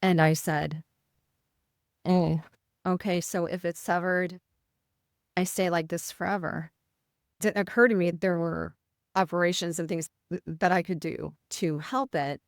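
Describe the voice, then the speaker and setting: A middle-aged woman nurse with a Midwestern American accent, speaking with a voice that can sound slightly rough, telling a personal story in an interview. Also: fairly low pitch, steady tone, measured pace, pauses often, no echo, studio quality. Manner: earnest, vivid retelling with quoted inner thoughts. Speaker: nurse, podcast guest.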